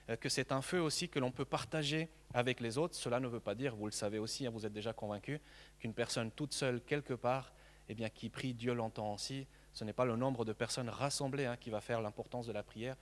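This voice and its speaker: A man in his thirties from Mexico, a native Spanish speaker, preaching a sermon in an earnest, halting way with many repeated words. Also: medium pitch, long reverb tail.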